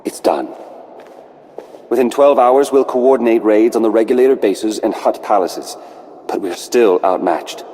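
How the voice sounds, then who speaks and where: An actor playing a proud, wary rebel leader in a video game, earnest and guarded. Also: male voice, on the low side, unhurried, dark tone. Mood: resolute. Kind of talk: video game cutscene acting.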